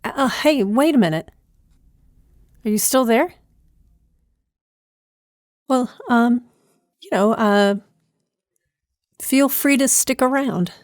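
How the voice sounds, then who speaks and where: A woman's voice: a little high, takes it slowly, pauses often, no room sound, clean studio sound. A woman hosting a podcast as herself, talking informally in a hesitant, conversational, wry way. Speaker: podcast creator and host, playing herself. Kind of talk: informal podcast talk in an audio drama.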